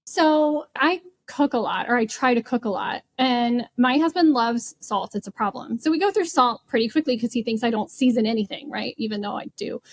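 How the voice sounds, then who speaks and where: Higher-pitched woman chatting casually. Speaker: podcast panelist. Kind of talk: casual podcast chat.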